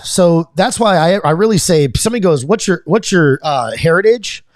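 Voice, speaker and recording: A man's voice: high voice, lively intonation, a little fast, no room sound, clean studio sound. A man from Florida with a Florida accent, chatting casually as a podcast host and rambling with frequent repeats and restarts. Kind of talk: casual chat.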